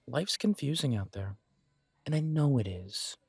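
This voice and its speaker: A man playing a supportive, self-deprecating best friend in a casual roleplay audio drama, speaking in an earnest, encouraging, conversational way with pauses. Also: mid-range voice, expressive, creaky, darkish tone, slightly rough, dry recording, heavily acted. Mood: reassuring, earnest.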